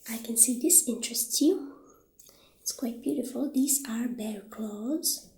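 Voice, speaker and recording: Woman as friendly witch shopkeeper for ASMR roleplay: soft, breathy, unhurried, slightly high, roomy sound. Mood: softly admiring. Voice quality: soft, breathy, half-whispered. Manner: gentle, unhurried, hesitant pauses. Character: friendly witch shopkeeper showing off potion ingredients.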